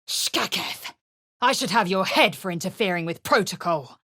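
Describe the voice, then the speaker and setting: An actress voicing a fierce, proud githyanki warrior woman in a game, speaking in a clipped, commanding way and often dropping low and hushed. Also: animated, moderate pace, dry recording, clean studio sound. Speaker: game character. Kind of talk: studio game voice acting.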